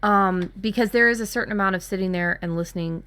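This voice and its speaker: A woman talking casually as a writing workshop co-host, retelling her ideas in an informal, expressive way. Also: mid-range voice, fast, smooth. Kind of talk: casual workshop chat, recounting own brainstorm.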